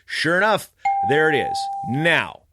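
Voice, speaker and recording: A man's voice: a little high, very animated, slow pace, background noise. A man podcaster telling a story in a casual, snarky, emphatic rant. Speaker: wrestling podcaster.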